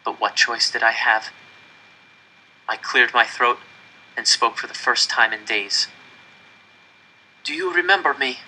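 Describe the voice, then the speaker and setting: A man narrates a story aloud with a very bright, forceful voice at a medium pitch. His delivery is earnest and suspenseful, shaped as dramatic first-person narration. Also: little pitch movement, measured pace, long pauses, a little hiss or hum, rough recording. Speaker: audiobook-style reader.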